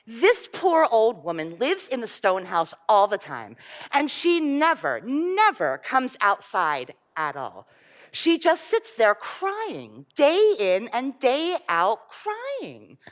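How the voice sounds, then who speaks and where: A tiny, cheerful forest elf from a children's storybook, chirping away in a sing-song, playful voice, as a woman puts him on. His pitch jumps high and swoops all over the place. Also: huge pitch swings, slow, heavily acted.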